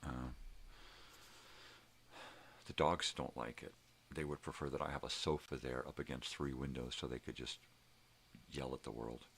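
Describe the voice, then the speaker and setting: A man's voice: rumbling low, steady tone, moderate pace, long pauses, a bit bright, breathy edge. An older man with a folksy, rambling, salty way of talking, chatting casually as the host of a personal video log at home. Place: room at home. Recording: noticeable background noise.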